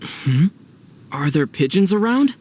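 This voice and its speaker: Lively, teasing young traveler. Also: male voice, sits high, takes it slowly, a little hiss or hum, full character voice. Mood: puzzled. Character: young male traveler, calm, teasing, protective. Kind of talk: animated video game voice acting.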